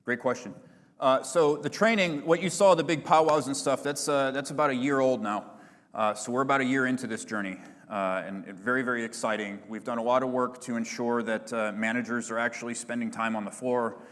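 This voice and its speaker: A man presenting at a business conference, answering audience questions in a relaxed, filler-heavy corporate manner. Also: mid-range voice, a little fast, big-room sound. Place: large conference hall.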